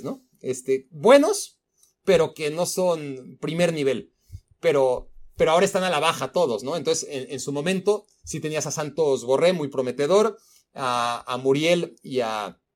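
A man with a Mexico City Spanish accent talks through a loose, rambling monologue as a podcast host. His voice sits a little on the high side for a man, and he leans on fillers and casual swearing. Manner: rambling, frequent fillers and profanity.

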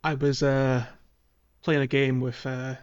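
A man's voice: mid-range voice, little pitch movement, takes it slowly, clear, resonant tone, muffled recording. A man hosting a podcast, casually recapping a video game in a conversational, jokey way. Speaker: podcast host.

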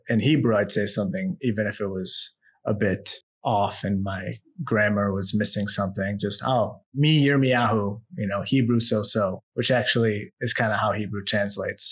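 Relaxed man telling his life story. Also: on the low side, breathy edge. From United States. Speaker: podcast guest. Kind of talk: storytelling in an interview.

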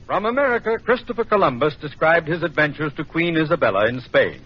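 Animated vintage radio announcer, man. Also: on the high side, fast, background noise. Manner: formal, declamatory. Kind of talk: 1940s scripted radio announcing. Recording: dull old radio broadcast, music behind.

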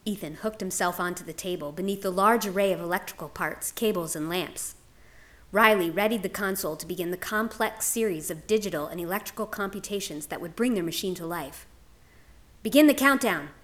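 A woman's voice: on the low side, a little fast, a bit bright. A woman narrator reading a scripted story in a dramatic storytelling style. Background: music.

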